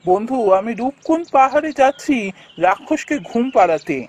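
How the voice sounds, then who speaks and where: Man voicing a high-voiced earnest young prince. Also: lively intonation, moderate pace, slightly rough, full character voice. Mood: earnest, worried. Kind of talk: cartoon fairy-tale acting.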